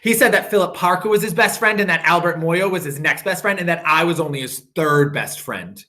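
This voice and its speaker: Bright-voiced man reading a storybook aloud. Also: on the high side. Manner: expressive, drawn-out emphasis on repeated phrases. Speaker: teacher. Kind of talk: reading a children's picture book aloud.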